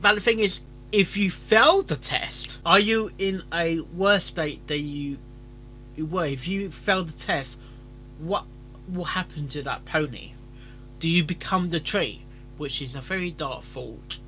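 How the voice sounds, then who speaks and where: A man giving casual, rambling commentary, haltingly repeating and restarting his phrases. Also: on the high side, pushed and bright, soft background music, rough recording. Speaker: reaction video host reviewing fan fiction.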